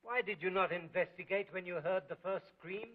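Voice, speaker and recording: An actor playing a stern, impatient police prefect who questions witnesses curtly and with scorn. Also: male voice, sits high, brisk, narrow, tinny audio.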